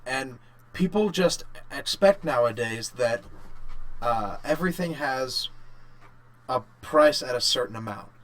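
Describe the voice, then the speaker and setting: Halting man chatting casually on a podcast. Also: medium pitch, expressive, fairly bright tone, poor audio. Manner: halting, thinks aloud in short bursts. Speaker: podcast co-host. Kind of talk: casual chat about games and work.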